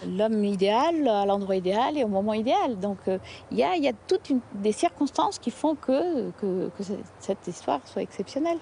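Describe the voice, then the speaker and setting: A woman with a mellow, warm voice talks freely and at length, lively and chatty. A faint background hiss sits under her voice. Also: mid-range voice, moderate pace, creaky, hardly any pauses. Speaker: association founder. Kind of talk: interview conversation.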